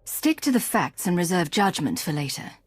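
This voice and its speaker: An actress playing an authoritative, composed woman commander in a video game, measured and controlled with a husky voice. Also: on the low side, very animated, dry recording. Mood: businesslike. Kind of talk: video game cutscene acting.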